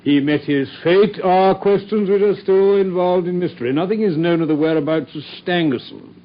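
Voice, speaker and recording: An actor playing an educated, curious Victorian gentleman with an English accent and a genteel, measured manner in a radio drama. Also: sits high, lightly acted. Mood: measured reading. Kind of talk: British radio drama acting. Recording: muffled radio drama recording.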